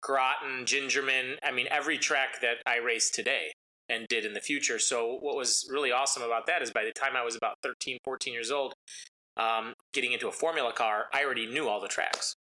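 A man's voice: mid-range voice, fairly even intonation, a little fast, sharp tone, rough recording. A man whose first language is Italian, talking casually as an interview guest about his racing life, rambling with many ums and uhs. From United States.

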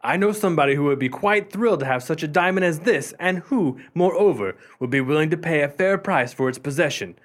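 Man acting a covetous, higher-voiced gentleman collector. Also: animated, fairly quick, few pauses. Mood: scheming. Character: Pew, covetous, excitable gentleman collector, easily affronted. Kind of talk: old-fashioned radio play acting.

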